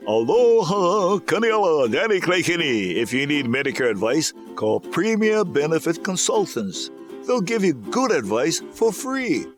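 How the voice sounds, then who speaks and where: Lively man in an ad. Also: on the high side, measured pace. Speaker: ad spokesman. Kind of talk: radio-style ad read. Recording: music bed under voice.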